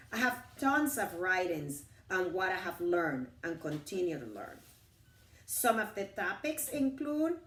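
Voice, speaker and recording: A middle-aged woman reading her own personal, inspirational writing aloud in measured phrases. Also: lowish voice, a bit bright. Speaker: author reading her book. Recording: roomy echo, distant from the mic.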